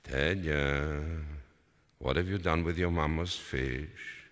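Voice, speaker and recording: A man voicing a tired, gentle but firm father of young children in a story told aloud. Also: rumbling low, fairly even intonation, slow pace, exaggerated voice. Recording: long reverberant hall.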